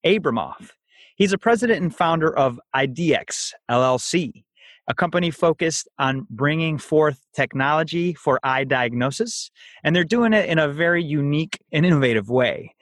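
A man's voice: on the high side, lively intonation, normal speed, no room sound. A man hosting a health podcast, reading a promo and introducing a guest in an upbeat, promotional way. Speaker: podcast host.

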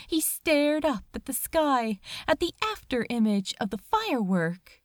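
A woman reading fan fiction aloud as a dramatic narrator, measured and expressive, with deliberate pauses. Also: sits high, no echo, studio quality. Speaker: amateur audiobook reader.

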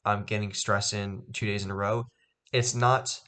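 A man's voice: lowish voice, steady tone, moderate pace, a bit bright. Stuffy-nosed young man rambling on a podcast. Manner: rambling, many ums and restarts. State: stuffed up nose. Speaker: podcast host. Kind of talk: casual solo podcast talk. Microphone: poor microphone.

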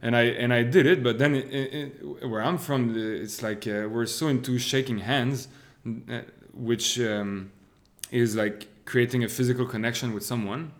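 Thoughtful man, halting. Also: mid-range voice, fairly even intonation. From Quebec. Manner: thoughtful, halting with many restarts. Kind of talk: casual conversation.

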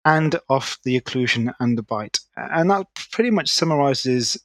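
A man, a guest orthodontist explaining his field in a podcast interview, articulate and quick, with restarts. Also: medium pitch, animated, dry recording, studio quality. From Manchester, England. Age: 30s.

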